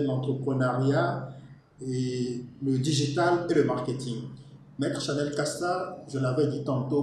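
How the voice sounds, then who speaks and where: Male interview host, mid-pitched, formal and measured; very reverberant room with faint noise. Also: a little mellow.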